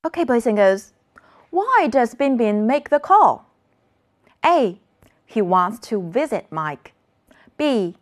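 A woman's voice: on the high side, big pitch swings, slow pace, long pauses. A woman teaching children an English lesson, modeling words for the class to repeat.

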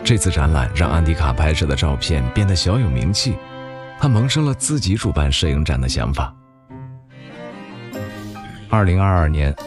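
A man narrates a televised news feature in a low voice. His pitch moves expressively while he reads in a steady, measured way. Music plays underneath. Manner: measured, even-paced reading. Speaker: documentary narrator. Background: background music.

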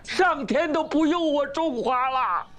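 A man's voice: extremely high pitch, animated, crowd noise. A fiery old great-grandfather with failing eyes. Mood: agitated. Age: older. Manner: stammering, quick to anger, shouts. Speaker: household elder.

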